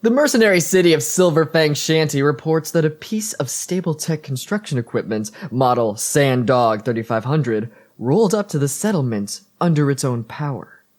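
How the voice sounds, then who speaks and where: A showy radio DJ played by a man in an audio drama, pitched high and swooping widely. He announces with grand, upbeat theatrical patter. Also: lively intonation, normal speed, natural voice. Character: flamboyant male pony radio DJ, upbeat and showy. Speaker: radio host.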